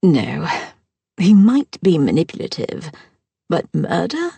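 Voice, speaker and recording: A woman voicing a gracious older mother-in-law who speaks formally and softly, at times near a whisper. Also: fairly low pitch, sing-song, takes it slowly, creaky, breathy edge, dry recording, heavily acted. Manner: formal and soft-spoken.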